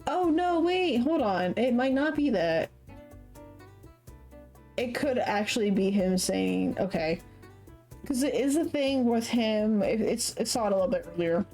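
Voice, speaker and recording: A woman streamer chatting casually while playing a game, laughing often and filling pauses with "like". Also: on the high side, lively intonation, dark tone, soft background music. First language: English. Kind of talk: casual gameplay commentary.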